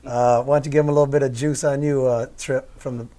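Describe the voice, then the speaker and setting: A man's voice: medium pitch, flat delivery, fast talker, mellow. A man hosting a local community TV talk show, introducing his crew in a loose, rambling way. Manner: easygoing, rambling with fillers. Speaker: host.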